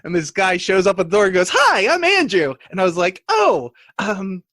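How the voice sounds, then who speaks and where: A man co-hosting a comedy podcast with casual, teasing banter and animated storytelling. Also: high-pitched, normal speed, no echo, clean studio sound. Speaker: guest co-host of comedy podcast.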